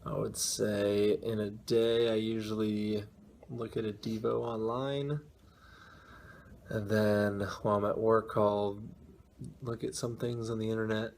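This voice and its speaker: A man's voice: on the low side, flat delivery, measured pace, gravelly, a little mellow, slight background noise. A man answering interview questions in a laid-back way, trailing off between thoughts. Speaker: focus group participant.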